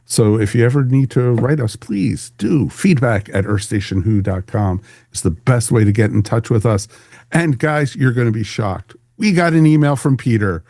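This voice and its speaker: A man's voice: mid-range voice, expressive, moderate pace, creaky, warm tone, no echo, clean studio sound. A man hosting a podcast in a casual, chatty way, with a jokey, folksy manner. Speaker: podcast host.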